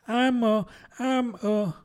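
A polite little girl, as a male narrator puts her on, speaks earnestly in a raised, light voice. She sounds careful and a bit doubtful, like a character in a storybook. The voice sits high above a grown man's usual pitch. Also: steady tone, slow pace, smooth. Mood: protesting. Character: truthful, puzzled little girl. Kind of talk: audiobook character voice.

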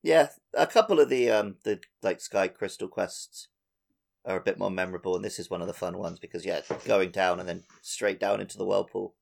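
A man's voice: low voice, fairly quick, creaky. A man co-hosting a video game podcast, chatting casually in an informal, laid-back manner. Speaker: podcast co-host.

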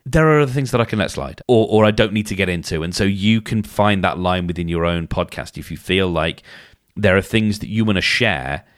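A man with a hoarse, somewhat low voice hosts a solo podcast in a relaxed, chatty way. He thinks out loud and often doubles back mid-sentence. He speaks close to the microphone in a dry, dead room. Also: brisk, no room sound. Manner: chatty, thinking aloud with frequent restarts. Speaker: podcast host. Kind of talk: casual solo podcast advice.

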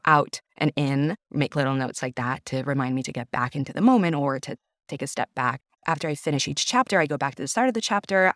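A woman's voice: extremely low pitch, fairly bright tone, no echo. A woman whose first language is Italian chats in a podcast interview about her work as an audiobook narrator and actor. She sounds eager and effusive and sprinkles her talk with "you know." The sound is close and dry, with no room around it.

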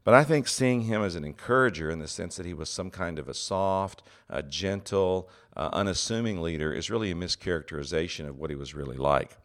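A middle-aged man hosting a solo teaching podcast, his voice very deep and scratchy from a cold, speaking earnestly with many "uh" pauses. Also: fairly bright tone. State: has a cold, congested. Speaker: podcast host, seminary president.

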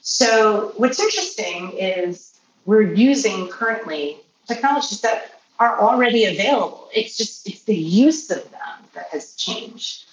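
A woman who grew up speaking Polish, answering interview questions as a healthcare professional in a measured, explanatory way. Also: medium pitch, expressive. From Poland or Polish-speaking family. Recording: roomy, distant mic, faint noise.

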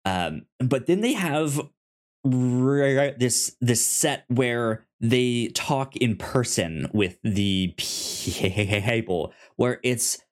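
A man co-hosting a podcast, casually discussing film in a halting way, often repeating and stammering over his words. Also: mid-range voice, expressive. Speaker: podcast co-host.

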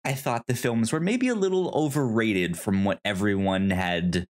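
A man co-hosting a podcast in a casual movie discussion, speaking haltingly and stammering over repeated false starts. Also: fairly low pitch, animated. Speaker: podcast co-host.

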